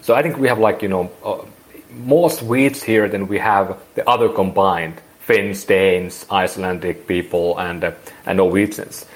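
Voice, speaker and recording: A man's voice: deep voice, fairly even intonation, measured pace. A man in his forties from Finland with a Finnish accent, speaking English as a second language and rambling through an interview with many restarts. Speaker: guest. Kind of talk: casual interview.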